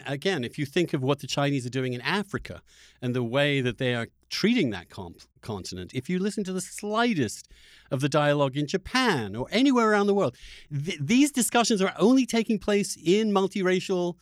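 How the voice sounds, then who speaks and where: A man's voice: high voice, animated, dry recording, studio quality. A man hosting an interview, talking through political ideas in an articulate, argumentative way, often restarting as he searches for words. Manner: halting, searching for words with repeated starts. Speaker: interviewer, podcast host. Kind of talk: conversational political discussion.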